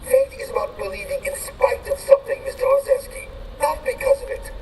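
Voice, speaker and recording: An actor playing a fervent, faith-preaching faction leader in a video game, deliberate and rhetorical. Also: male voice, very high voice, very animated, darkish tone. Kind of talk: dramatic video game acting. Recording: distant, echoing, noisy scene audio.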